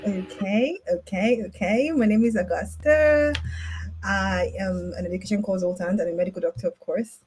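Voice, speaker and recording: A woman co-hosting an online event introduces herself over a computer mic on a video call. She speaks hesitantly and fills her pauses with many ums. Her voice sits at a middle pitch. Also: a little mellow, slight background noise, rough recording. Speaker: co-host and education consultant. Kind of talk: casual self-introduction.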